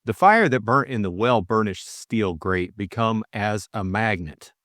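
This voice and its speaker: A man's voice: lowish voice, animated, unhurried, a bit bright, no room sound, clean studio sound. A man reading a scripted story recap and novel as an audiobook co-narrator, in dramatic style.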